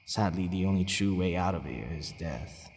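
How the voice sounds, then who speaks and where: A man playing a centuries-old, courteous but possessive vampire in an ASMR roleplay, deliberate and hesitant, sometimes murmuring almost to a whisper. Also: low-pitched, close to an everyday voice. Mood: dark, protective. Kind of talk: ASMR roleplay addressed to the listener. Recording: long reverberant hall echo.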